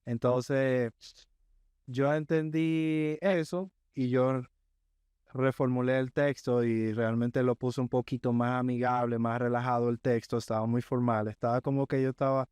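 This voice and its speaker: Smooth-voiced man telling a story. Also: mid-range voice, fairly even intonation, darkish tone, no echo, studio quality. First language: Spanish. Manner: measured storyteller, often checks in with "right?". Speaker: podcast guest. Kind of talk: casual interview chat.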